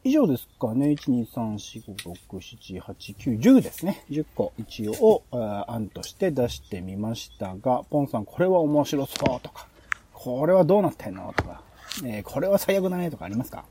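A man's voice: medium pitch, very animated, normal speed, warm tone, slightly rough. A man hosting a podcast planning chat, explaining his ideas as he thinks aloud. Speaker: podcast host presenting program ideas. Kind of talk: casual planning-meeting talk.

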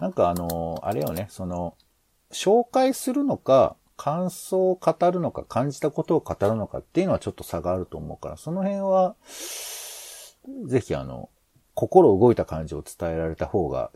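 A man's voice: lowish voice, huge pitch swings, moderate pace, creaky, dark tone, breathy edge, dry recording. A man co-hosting a podcast in casual planning discussion, hesitant and rambling as he thinks aloud. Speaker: podcast co-host.

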